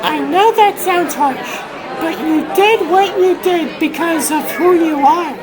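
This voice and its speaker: A man's voice: very high voice, takes it slowly, a crowd in the background. A man voicing a blunt, loyal teenage girl in a comic dub, with a sassy, scolding manner. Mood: earnest.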